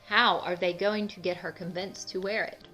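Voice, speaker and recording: A woman's voice: lowish voice, moderate pace, poor audio. A woman narrating a children's book expressively and putting questions straight to young listeners. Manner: expressive, addresses children directly. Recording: music under the voice. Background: music.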